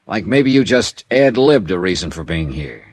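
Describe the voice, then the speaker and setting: An actor playing a wisecracking, hard-boiled insurance investigator with an American accent, wry and clipped, in an old-time radio detective drama. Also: male voice, low-pitched, very animated, moderate pace, a little mellow, husky, full character voice. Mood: combative. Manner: wry, clipped, tough-talking.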